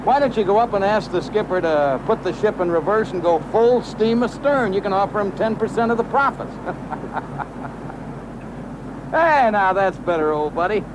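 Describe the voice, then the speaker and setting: An actor playing a jovial, teasing ship passenger who talks in a chummy, joking way in a television drama. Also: male voice, sits high, expressive, normal speed. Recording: clear outdoor boat noise.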